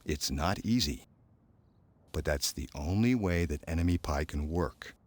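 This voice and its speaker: A man reading as a calm, sly storybook father who confides secrets in a hushed, deliberate manner. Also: rumbling low, a little hiss or hum, voice pushed down. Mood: confiding.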